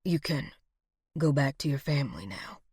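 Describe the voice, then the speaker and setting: A woman voicing a wounded, dying man in an audiobook, tender and weak, with a breathy, gasping whisper. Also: low-pitched, normal speed, dry recording, studio quality, voice pushed down. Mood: tender, apologetic.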